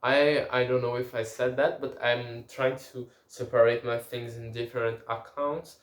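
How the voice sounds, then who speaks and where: A man's voice: medium pitch, level tone, moderate pace, reverberant. A man whose first language is Portuguese, speaking a casual language-learning diary and often restarting and searching for words.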